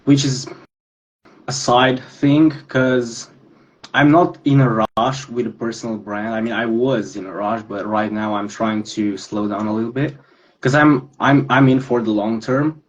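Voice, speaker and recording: Young man from Romania, 19, guest on a call, earnest, mid-pitched, muffled lo-fi audio. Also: steady tone, unhurried. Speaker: guest, digital creator. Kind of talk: casual interview over a video call.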